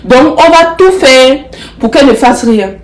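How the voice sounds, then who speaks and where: A woman's voice: sits high, lively intonation, unhurried. A woman with a stuffy flu nose voicing her own lazy inner brain, which mockingly talks her out of doing anything. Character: a lazy inner brain that wants nothing done. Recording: roomy echo.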